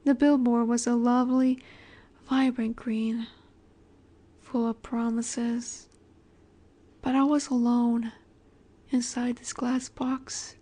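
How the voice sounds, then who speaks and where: A woman playing an ageless, possessive vampire in a romantic audio roleplay, speaking softly and breathily, often near a whisper, with a wistful, elegant, gently commanding manner. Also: on the high side, flat delivery, slow, pauses often. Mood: nostalgic, melancholy. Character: ageless female vampire boss's daughter, wistful, tender, possessive.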